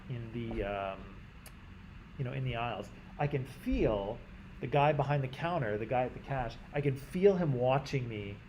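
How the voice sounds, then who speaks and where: A Canadian man teaching a class in a conversational way, often checking in with "right?" and clearing his throat. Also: mid-range voice, warm tone, a little hiss or hum, rough recording. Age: adult. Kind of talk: classroom lecture.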